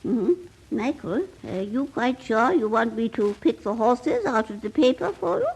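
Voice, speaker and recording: A woman's voice: a little high, very animated, a little mellow, breathy edge, heavily acted, poor audio. A woman playing an ancient, eccentric, kindly and sly aunt in a radio comedy, dithery and hesitant. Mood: dithery, vague. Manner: dithery, hesitant with many ums and pauses.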